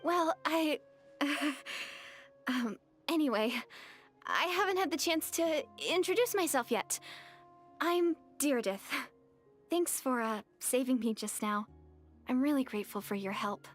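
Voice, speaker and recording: Young game heroine: extremely high, polite, shy, hesitant and earnest. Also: female voice, expressive, measured pace, soft background music. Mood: shy, flustered. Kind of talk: anime-style video game voice acting.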